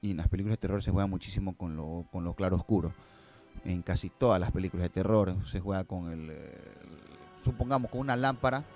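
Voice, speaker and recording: Man chatting, music softly behind. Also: low-pitched, fast talker. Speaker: guest filmmaker. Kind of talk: casual conversational storytelling and explanation.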